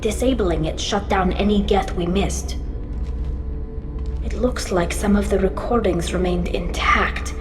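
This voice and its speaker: A woman's voice: medium pitch, unhurried, lightly acted. A woman playing a young alien daughter in a video game, speaking with earnest, pained grief and pleading. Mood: somber. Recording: roomy long reverb, music behind.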